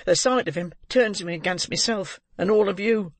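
A man's voice: high-pitched, normal speed, dry recording, pitched above the performer's own voice. A man voicing a bitter, defiant young woman of the criminal underworld in a storybook reading, speaking emphatically. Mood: bitter, anguished.

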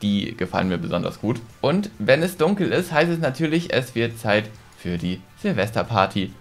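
Casting: male video host, twenties, medium pitch, chatty game walkthrough, close mic at home, music underneath. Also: big pitch swings, moderate pace. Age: 20s. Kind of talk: casual playthrough commentary to viewers. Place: small furnished room at home. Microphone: desk microphone close by. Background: background music.

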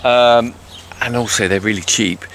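Brief: middle-aged farmer, cheerful and chatty, selfie camera outdoors with noise behind. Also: male voice, mid-range voice, steady tone, measured pace, gravelly, fairly bright tone. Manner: cheerful, chatty, asks lots of questions. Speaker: farmer vlogger. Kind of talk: casual vlog chat. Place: outdoors on a farm and in a car. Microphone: handheld camera selfie. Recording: noisy outdoor camera audio.

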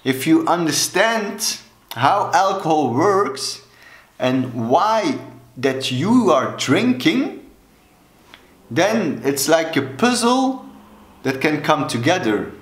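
A man in his thirties from Belgium, a native Dutch speaker with a Belgian Dutch accent, talking earnestly and deliberately to camera as an alcohol coach, gesturing with both hands. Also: a little high, sing-song. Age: 30s. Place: small room indoors, plain wall. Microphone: camera mic, head and shoulders. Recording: echoey room.

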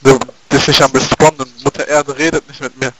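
A man's voice: medium pitch, flat delivery, brisk, fairly bright tone, rough recording. A German man joking around in game chat with playful mock announcements. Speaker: gamer. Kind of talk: joking gameplay chat through an in-game megaphone.

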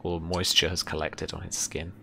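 A man in his thirties narrating an improvised tabletop horror game as game master, describing things in halting phrases. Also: low-pitched, little pitch movement, vocal fry, pushed and bright, soft background music.

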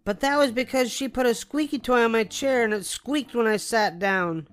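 A man's voice: very high-pitched, moderate pace, a bit bright, clean tone, soft background music, voice pushed up. A man voicing a squabbling young boy bear cub in a storybook reading, whiny and accusing.

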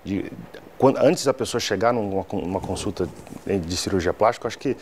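A man's voice: lowish voice, fairly quick, a little hiss or hum. A man in his thirties with a Brazilian accent, explaining things as a surgeon interviewed in a TV studio. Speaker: plastic surgeon, interview guest.

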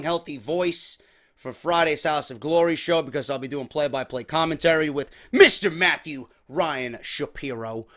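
A young man, his voice slightly worn, hosting a casual wrestling talk show from a small home room on a desk microphone, energetic and chatty. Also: a little high. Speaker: podcast host. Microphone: desk mic on boom arm.

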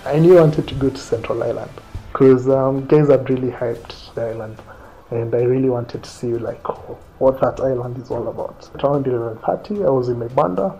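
Kenyan man, thirties, interview storyteller, relaxed dark tone over light background music. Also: medium pitch, brisk, gravelly, rough recording. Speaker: interviewee recounting his own trip. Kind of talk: conversational storytelling to camera. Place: small quiet indoor room, seated. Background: faint music.

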